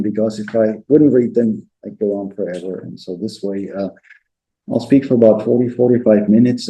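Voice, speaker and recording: Guy in his 60s lecturing, low voice, very dark tone, repeats words when not reading. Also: low-quality recording. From southern Germany. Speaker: guest lecturer. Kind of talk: academic lecture, partly read aloud.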